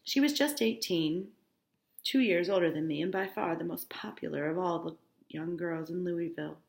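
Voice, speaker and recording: A woman high school teacher reading a novel aloud, breaking off for informal, instructive asides to explain things to students. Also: normal speed, vocal fry, reverberant. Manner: informal, instructive asides with check-ins like "okay?".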